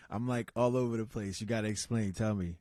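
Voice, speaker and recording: Low-voiced man in loose podcast chat. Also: steady tone, moderate pace, no echo, studio quality. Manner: rambling and conversational, with many restarts and asides; reads out shout-outs to live listeners. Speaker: podcast host. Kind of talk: casual unscripted podcast chat.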